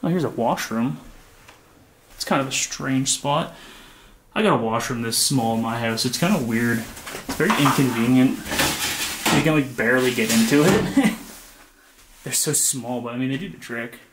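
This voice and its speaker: A young man casually narrating his walk through an abandoned house, chatty and relaxed. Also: medium pitch, moderate pace, long pauses, noisy background. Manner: relaxed, chatty, frequent "like" and laughs. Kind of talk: casual walkthrough vlog. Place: inside an empty abandoned house.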